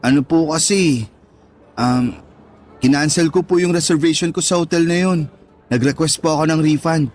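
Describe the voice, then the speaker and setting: A man playing a high-voiced, affectionate young son. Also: normal speed, long pauses, a little mellow, close to an everyday voice. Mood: hesitant, pleading. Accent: Filipino. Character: Jasper, affectionate, needy young grown son and big brother. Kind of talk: radio drama dialogue acting.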